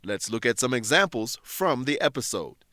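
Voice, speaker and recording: A man's voice: medium pitch, big pitch swings, normal speed, bright, forceful tone, dry recording. Careful male English teacher, dry studio sound. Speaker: English lesson presenter. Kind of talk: scripted instructional narration.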